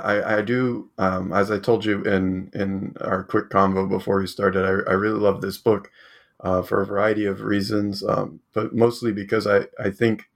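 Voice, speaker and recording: A man hosts an academic book podcast from his home. His very deep voice stays flat and gravelly. He speaks hesitantly, often restarting sentences. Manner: hesitant, many fillers and restarts. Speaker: podcast host.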